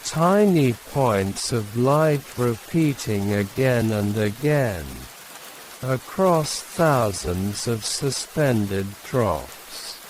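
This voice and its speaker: Very slow, soothing man narrating a bedtime story. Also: mid-range voice, poor audio. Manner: unhurried, hushed, soothing, with long pauses between short phrases. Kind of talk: calm scripted sleep-story narration. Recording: steady rain and hiss behind voice. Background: rain.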